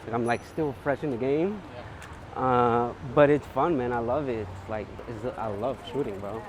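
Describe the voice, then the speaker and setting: A man's voice: medium pitch, normal speed, crowd noise. A young man with a New York accent chatting casually and enthusiastically, using slang and plenty of filler "like". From New York City, USA. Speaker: street photographer, guest. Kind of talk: casual chat. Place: outdoors on a busy city street. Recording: clear outdoor street and traffic noise.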